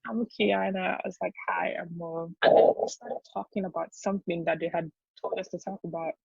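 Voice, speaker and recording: Nigerian woman, Yoruba accent, husky, slightly low, dry muffled recording. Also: a little fast, no echo. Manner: animated storyteller, many fillers, laughs often. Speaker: podcast guest. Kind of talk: casual chat.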